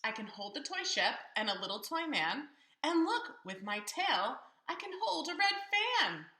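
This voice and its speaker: A woman's voice: high-pitched, very animated, a little slow, bright, forceful tone, pitched above the performer's own voice, exaggerated voice. A woman voicing a boastful, playful male cat in a storybook, with exuberant, singsong showing off. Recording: roomy echo.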